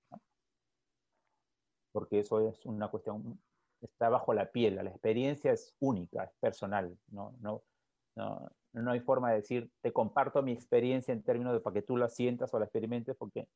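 Calm reflective man, muffled dry podcast audio. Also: mid-range voice, no room sound. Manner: calm and reflective, often ends with a questioning tag. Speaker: psychologist. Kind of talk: explanatory podcast talk. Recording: dull, lo-fi, dry sound.

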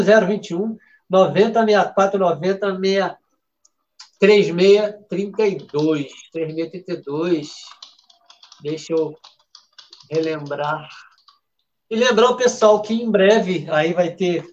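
High-pitched guy hosting a betting show, chatty, keeps restarting, dull lo-fi audio. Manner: chatty, with many restarts and fillers. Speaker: host of a football betting show. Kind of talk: casual live show talk.

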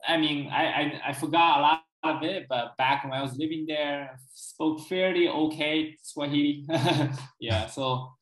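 A young man talking as an interview guest, explaining his background in a relaxed, conversational way and often checking in with the listener. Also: a little high, unhurried. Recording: roomy echo.

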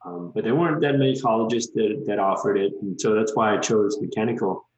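A man in his thirties from Malaysia, a guest in an interview, talking casually and relaxed with many "um" and "you know" fillers. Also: fairly low pitch, a little fast, reverberant, dull audio.

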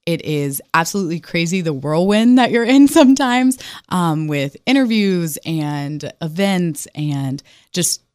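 Young woman chatting, low even-pitched voice. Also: unhurried, no room sound, clean studio sound. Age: young adult. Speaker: pageant titleholder guest. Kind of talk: chatty radio interview.

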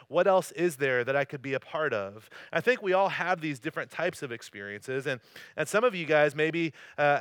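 A man from North Carolina in his thirties, preaching a casual sermon as a campus pastor. He talks in a light, joking way and often pokes fun at himself. His voice is smooth and clear, a touch high and sharply bright, and it is recorded dry. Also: no room sound. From North Carolina, USA. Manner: joking, self-deprecating, loose storytelling. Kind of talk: conversational sermon with personal stories. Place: church service.

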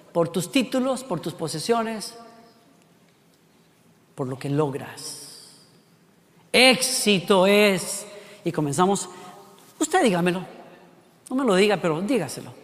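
A Costa Rican man with a high voice preaches a sermon. His delivery is animated, full of rhetorical questions and little acted-out voices. A long hall reverb trails after each phrase. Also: a little slow, long pauses. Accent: Costa Rican Spanish. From Costa Rica.

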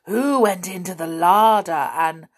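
Woman putting on a booming, stern, accusing invisible man. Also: measured pace. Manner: solemn, chanting accusing questions.

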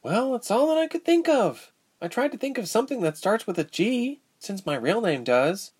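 A man's voice: sits high, expressive, normal speed, pitched above the performer's own voice. A man voicing a shaky, awkward, defensive young woman captive in an audiobook story.

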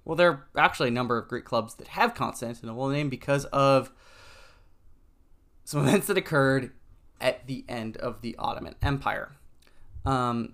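A man hosting a solo podcast, explaining in a conversational way with frequent ums and pauses. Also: mid-range voice, fairly bright tone. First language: English. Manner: conversational, frequent ums, stumbles over foreign names. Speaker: podcast host. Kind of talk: solo educational podcast talk.

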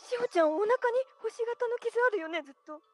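Woman acting a very high-voiced girl, hesitant and wondering, dry mic. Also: animated, quick pace, no room sound, faint music behind. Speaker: radio drama performer. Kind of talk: amateur radio drama acting.

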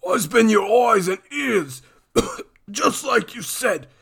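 A man voicing a sly, cringing street crook with a raspy, wheedling voice and broken grammar. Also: sits high, sing-song, slow pace, a bit bright, voice pushed up, exaggerated voice.

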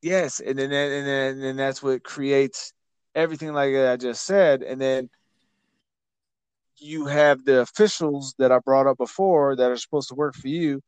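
A man's voice: medium pitch, fairly even intonation, moderate pace, a little mellow, clean tone, dry recording. A man hosting a casual podcast, talking bluntly with plenty of swearing and repeated words. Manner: blunt, repetitive, profane. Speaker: podcast host.

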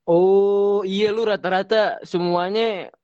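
A man's voice: sits high, fairly even intonation, slow, a little mellow, clean tone, no echo, muffled recording. A young man hosting a casual podcast chat, teasing his guests, laughing often and swearing playfully. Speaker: podcast host.

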